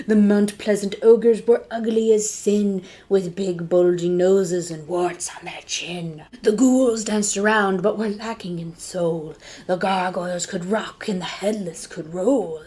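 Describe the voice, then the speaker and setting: Expressive woman reading a children's story. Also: medium pitch, husky.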